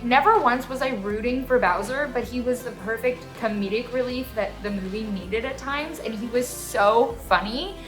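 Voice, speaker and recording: A woman's voice: fairly high pitch, a bit bright, poor audio. A young American woman hosting a casual movie review, gushing and emphatic, with slangy phrasing. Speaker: movie podcast host.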